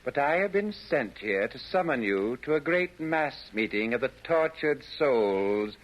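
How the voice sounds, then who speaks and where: An actor in a 1940s radio verse comedy playing a formal, deferential messenger of hell, ceremonious in manner. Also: male voice, mid-range voice, expressive, a little slow, highs cut off, natural voice. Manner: obsequious, ceremonious.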